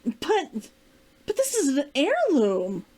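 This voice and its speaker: A woman's voice: sits high, wildly swooping pitch, slow, mellow, voice pushed up, exaggerated voice. Woman reading as a proud, guarded woman fighter. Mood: reluctant. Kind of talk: storybook character dialogue read aloud.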